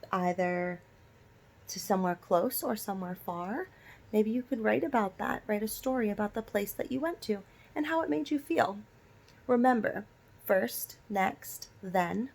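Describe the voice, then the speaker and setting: Creaky-voiced woman teacher reading aloud. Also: mid-range voice, a little mellow. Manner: instructive, addresses children directly with questions. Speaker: elementary school teacher. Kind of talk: storybook read-aloud with questions to young children.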